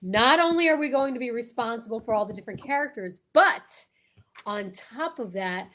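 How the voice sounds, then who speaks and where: A woman with a fairly high voice co-hosts a live show. She talks in excited, casual banter and gushes theatrically, with showy exclamations as she addresses her audience. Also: moderate pace. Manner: theatrical, gushing, sing-song exclamations.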